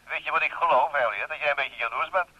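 An actor playing a wry, bantering detective in a radio play, familiar and teasing in manner. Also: male voice, on the high side, brisk, bright, forceful tone. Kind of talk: Dutch radio play acting. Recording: dry, slightly dull radio play recording.

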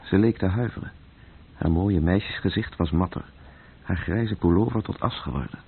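Detached radio-play narrator, gravel-husky and sombre, over narrow muffled vintage sound. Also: male voice, low voice, normal speed. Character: sombre male storyteller, detached and literary. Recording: muffled, phone-like old radio recording.

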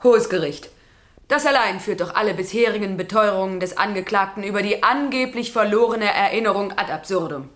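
An actress playing a state prosecutor in a German radio crime drama, formal, rhetorical and accusatory. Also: female voice, mid-range voice, a little fast, a bit bright, lightly acted. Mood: accusing. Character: accusing, forceful female state prosecutor in court.

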